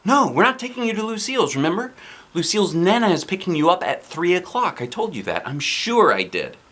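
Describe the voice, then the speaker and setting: Man voicing a firm mother, bright tone. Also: high voice, very animated, fairly quick, voice pushed up, full character voice. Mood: exasperated. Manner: firm, explaining. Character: firm, patient mother of a young girl. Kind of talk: children's storybook character voice.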